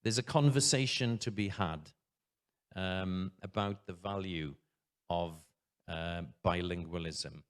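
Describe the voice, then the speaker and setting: Brief: male head teacher, lowish voice, earnest conference speech with frequent pauses, stage mic. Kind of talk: conference talk.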